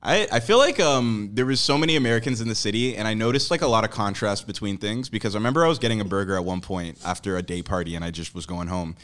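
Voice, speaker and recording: A man's voice: on the low side, quick pace, hardly any pauses, fairly bright tone. A man co-hosting a podcast, chatting in a laid-back way with dry quips. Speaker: podcast co-host. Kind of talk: casual chat.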